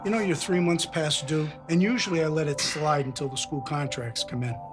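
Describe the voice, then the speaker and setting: A businesslike landlord as a male actor plays him with a slightly high voice. He is cold and unsympathetic in film-drama dialogue, while music plays clearly underneath. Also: brisk, lightly acted.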